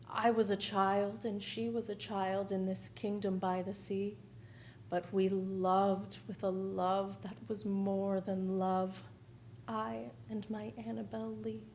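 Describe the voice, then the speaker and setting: A young woman gives a solemn, mournful dramatic reading of verse in a measured manner. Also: medium pitch, a little hiss or hum. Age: young adult. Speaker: stage performer reciting poetry. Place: theatre stage at a lectern.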